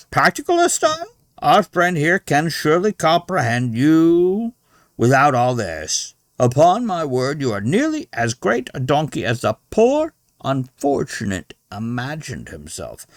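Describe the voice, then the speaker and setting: A man voicing a fussy, indignant old French lady in a storybook, scolding haughtily with drawn-out emphasis. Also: medium pitch, a bit bright, no echo, clean studio sound, voice pushed up. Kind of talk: storybook character voice.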